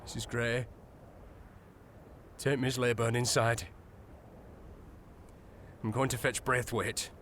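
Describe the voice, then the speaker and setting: An actor playing a deferential, cautious manservant in a period audio drama, speaking plainly and respectfully. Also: male voice, mid-range voice, little pitch movement, slow, pauses often, fairly bright tone, slight background noise. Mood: shaken, urgent.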